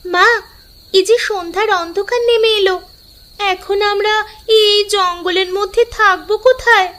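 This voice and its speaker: A woman's voice: very high-pitched, fairly even intonation, normal speed, pushed and bright. A woman voicing a curious, plaintive little girl, the daughter in a cartoon story. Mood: anxious. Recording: music behind the voice.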